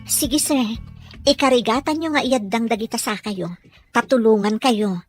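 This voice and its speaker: A woman's voice: fairly high pitch, normal speed, soft background music, natural voice. A woman playing a patient, caring caregiver and mother in an Ilocano audio drama. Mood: encouraging. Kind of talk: Ilocano radio drama acting.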